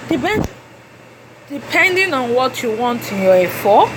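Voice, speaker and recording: A Nigerian woman whose first language is Yoruba, talking through a cooking tutorial in a halting way with many fillers. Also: sits high, sing-song. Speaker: cooking vlogger. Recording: background noise.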